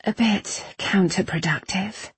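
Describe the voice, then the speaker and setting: A wounded, stubborn young woman in an audiobook novel, acted by a woman narrator. Her voice is very breathy and husky, raised in pitch and recorded dry. She is frank, weary and insistent, with a sardonic edge. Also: takes it slowly, dry recording. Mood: faint, spent.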